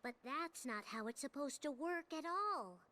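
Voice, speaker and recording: Game casting: tiny solemn spirit creature, very high voice, slow deliberate delivery. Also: female voice, expressive, pushed and bright, heavily acted. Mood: grave warning. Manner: earnest, deliberate, cautioning. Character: small cute cat-like spirit guide, gentle and solemn. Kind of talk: video game cutscene voice acting.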